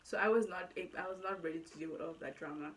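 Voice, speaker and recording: A woman's voice: fairly low pitch, very fast, a little hiss or hum. A woman in her twenties from Nigeria with an accent that blends Nigerian and South African, casually telling her own story as a vlogger, chatty and often correcting herself mid-thought. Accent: mixed Nigerian and South African. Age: 20s. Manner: chatty, self-correcting, thinks aloud.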